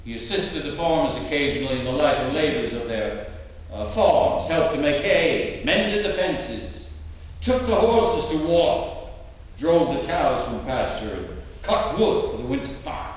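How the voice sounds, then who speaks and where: A man giving a theatrical live reading of a literary tale as a storyteller, expressive, with dramatic pauses and drawn-out phrases. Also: fairly high pitch. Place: inside an old stone church. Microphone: distant mic in a large room. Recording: very echoey church, distant mic, dull, faint noise.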